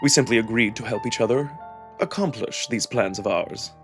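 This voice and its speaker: A man voicing a measured, diplomatic jackal nobleman from a visual novel, wary and deliberate in his formal phrasing. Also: medium pitch, music in the background, lightly acted. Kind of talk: visual novel character reading.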